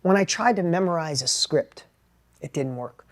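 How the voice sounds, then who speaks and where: A middle-aged man with a New York accent and a high-pitched voice, giving an animated, plainspoken motivational talk about sales. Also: moderate pace. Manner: animated, plainspoken, repeats and restarts words. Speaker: entrepreneur and sales educator. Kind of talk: motivational talk with personal story.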